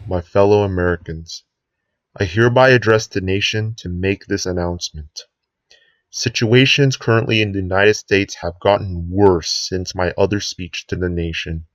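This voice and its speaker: Low-voiced, grave authoritarian president, played by a man. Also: dry recording, dull audio, close to an everyday voice. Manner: grave, deliberate speechmaking with drawn-out ominous phrases. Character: sinister authoritarian male US president addressing the nation. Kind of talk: scripted emergency broadcast address.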